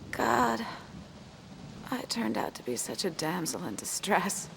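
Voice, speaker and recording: A woman's voice: fairly high pitch, gravelly, full character voice. An actress playing a wounded, wry femme fatale in a video game, her voice weak and faltering. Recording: noisy game scene ambience.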